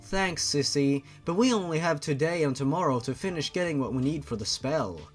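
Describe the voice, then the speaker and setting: Man as devoted young woman, storybook character, earnest, high-leaning lively voice, music behind. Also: brisk. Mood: earnest, reassuring.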